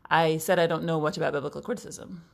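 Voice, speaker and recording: A woman's voice: low voice, fairly even intonation, fast, creaky. A woman, chatting casually in a playful, wry, articulate way as a podcast co-host. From Brooklyn, New York, United States. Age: 30s. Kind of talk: casual chat.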